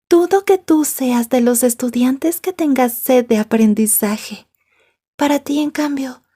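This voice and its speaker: Woman acting obsessive yandere girl, ASMR drama, slow, breathy, soft, jealous, condescending menace. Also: sits high, heavily acted. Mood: condescending, smug. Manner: taunting, drawn-out, slipping into whispers.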